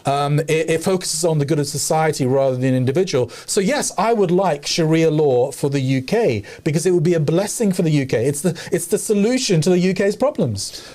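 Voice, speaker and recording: A man's voice: on the high side, lively intonation, a little fast, hardly any pauses, mellow. A man co-hosting a discussion podcast, making his case in an earnest, argumentative way, thinking aloud with many fillers. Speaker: podcast co-host.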